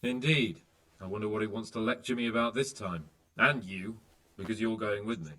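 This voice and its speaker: A man's voice: on the low side, moderate pace, a bit bright, close to an everyday voice, rough recording. An actor playing a carefree young nobleman in a video game, who jokes wryly about a scolding he expects. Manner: wry, joking and self-mocking. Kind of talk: video game cutscene acting.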